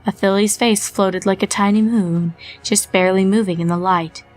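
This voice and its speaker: A woman narrating a horror fanfiction story in a measured storytelling manner. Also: mid-range voice, steady tone, darkish tone, faint music behind.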